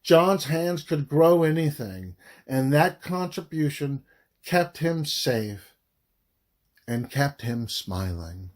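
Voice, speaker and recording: A man reading a scripted audiobook narration in a deliberate way, phrase by phrase with frequent pauses. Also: a little high, expressive. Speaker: author reading own audiobook.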